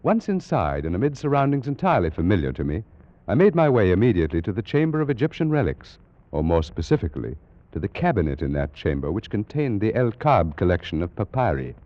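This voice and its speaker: An actor playing a scholarly British Egyptologist, formally recounting an eerie adventure in a 1940s radio drama. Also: male voice, low-pitched, big pitch swings, quick pace, no echo. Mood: measured, intrigued. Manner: formal, precise, dramatic storytelling. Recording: muffled, noisy vintage radio recording.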